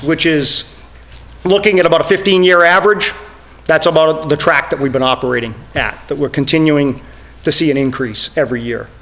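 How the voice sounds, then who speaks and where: Middle-aged man presenting, voice wearing thin. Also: high-pitched. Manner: steady explanatory, many "uh" fillers. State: losing his voice. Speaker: fire chief presenting budget. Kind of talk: committee presentation. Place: town meeting room.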